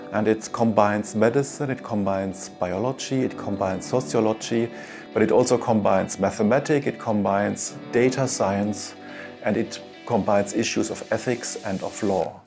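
A man in his sixties, a professor, explaining his program in a measured promotional interview on a lapel mic. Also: lowish voice, vocal fry. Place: indoor studio, plain backdrop.

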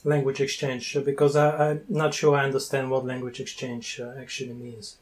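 A man from Bulgaria in his forties, chatting on a video call through an earphone mic, playful and teasing, pausing to search for words. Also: mid-range voice, completely flat delivery. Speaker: language learner interviewing a tutor. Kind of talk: casual video-call chat. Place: small room indoors with wooden doors. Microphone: wired earphone mic on a video call.

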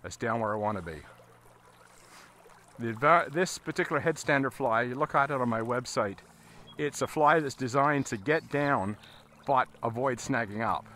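A man giving a casual, halting fly fishing tutorial as an instructor, talking while he works outdoors. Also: medium pitch, bright, forceful tone. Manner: halting, explaining as he casts. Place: outdoors, standing in a river. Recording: outdoor recording with water noise. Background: running water.

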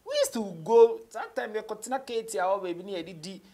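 A man's voice: high-pitched, expressive, fast talker, warm tone. A young man with a Ghanaian accent, a rapper chatting as an interview guest, telling stories with repetition for emphasis and switching between languages. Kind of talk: casual interview chat, mixing English and Twi. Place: small indoor radio studio.

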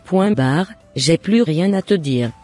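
A man's voice: on the high side, animated, takes it slowly, a little mellow, faint music behind. A man voicing a firm, scolding, uncompromising mother of a grown daughter in a scripted cartoon. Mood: stern, dismissive.

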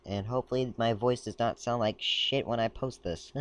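A man casually commenting while browsing a game page, talking in a rambling, halting way and stumbling as he reads aloud, a little sick. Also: medium pitch, fairly even intonation, dry recording. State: a bit sick. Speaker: gaming video creator. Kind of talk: casual screen-recording commentary.

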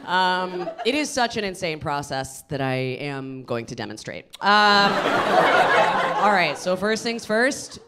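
American woman, stand-up comedian, very lively swooping intonation, emphatic, live room, loud audience noise. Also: fairly low pitch, takes it slowly, over a noisy crowd. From suburbs of Boston, United States. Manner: animated, emphatic delivery. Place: small indoor comedy venue with audience. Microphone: handheld microphone. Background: audience laughter.

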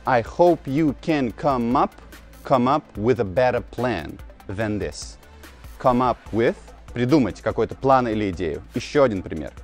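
A man in his thirties, a native Russian speaker, giving an informal English lesson to camera outdoors and slowly repeating key phrases. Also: mid-range voice, lively intonation, faint music behind. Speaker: English teacher, video host. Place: outdoors on a narrow old-town street. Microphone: lapel mic.

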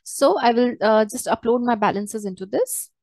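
A woman walking through a software tutorial step by step as a trainer, in a hesitant, halting way. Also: fairly high pitch, no echo, clean studio sound. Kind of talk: screen-share software tutorial, unscripted walkthrough.

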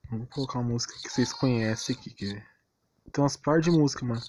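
A man's voice: medium pitch, quick pace, a little mellow. A man with a Brazilian accent giving casual gameplay commentary in a rambling, slangy, unsure way. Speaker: gaming channel host. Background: game music.

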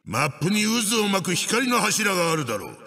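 A man's voice: sits high, sing-song, fairly quick, sharp tone, in a large hall, exaggerated voice. A man playing an evil wooden mask spirit in a video game, commanding and pompous as he barks out racing instructions. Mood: instructing, bossy. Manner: commanding, archaic pompous phrasing. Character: evil wooden witch-doctor mask spirit, bossy and menacing.

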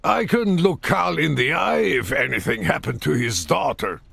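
An actor playing a gruff, weary veteran military commander with a gravelly, husky voice in a video game. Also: male voice, fairly high pitch, sing-song, normal speed, a bit bright, no echo, full character voice. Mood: tense. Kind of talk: video game cutscene acting.

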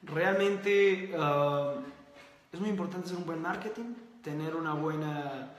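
Emphatic high-voiced man in a roomy space. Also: measured pace, slight background noise. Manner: emphatic, repetitive listing, addresses audience as señores. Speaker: host. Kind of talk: motivational live talk.

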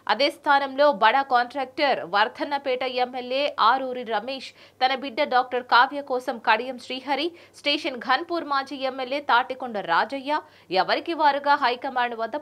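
A woman's voice: sits high, fairly bright tone. A young woman presenting scripted news in a formal, announced delivery.

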